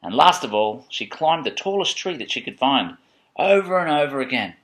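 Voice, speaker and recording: Dad reading a bedtime book to his kid, really lively up and down voice, playful. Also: medium pitch, moderate pace, rough recording. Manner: expressive, playful, dramatic storybook emphasis. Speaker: parent reading a bedtime story to a child. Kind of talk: animated picture-book read-aloud with chatty asides.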